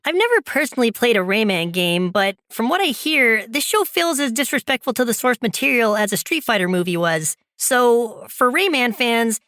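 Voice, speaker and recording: A man's voice: very high voice, sing-song, moderate pace, hardly any pauses, sharp tone, no room sound, clean studio sound, voice pushed up, exaggerated voice. A man voicing an earnest, snooty inner child critic who speaks in a wordy, mock-solemn way about cartoons. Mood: solemn disdain.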